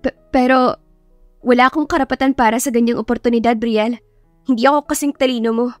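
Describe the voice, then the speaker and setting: Timid young daughter played by a woman, meek, hesitant, higher pitch, audiobook drama. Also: steady tone, fast talker, dry recording, faint music behind, natural voice. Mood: nervous, meek. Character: timid, hurt young adult daughter, self-doubting. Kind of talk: audiobook character acting.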